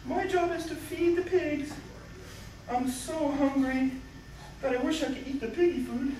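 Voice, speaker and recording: A woman plays a very high-pitched young son in a Bible puppet show. The delivery is slow, childlike and exaggerated. It sounds distant and very echoey. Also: mellow, a little hiss or hum. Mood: miserable. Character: young prodigal son puppet, gleeful spender turned starving penitent. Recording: echoey, distant, music behind.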